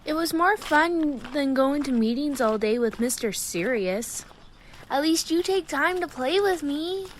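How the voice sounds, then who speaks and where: An earnest young boy in an audio drama, with a very high, childlike voice. He is a wistful son eager for his father's notice. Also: expressive, a little slow, a little hiss or hum, rough recording.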